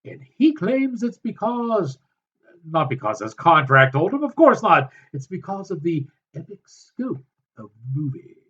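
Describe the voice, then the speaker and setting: Sardonic man reviewing films, lively pitch. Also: muffled recording, slight background noise. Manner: sardonic, halting with many fillers. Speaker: film reviewer. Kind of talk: casual film review commentary.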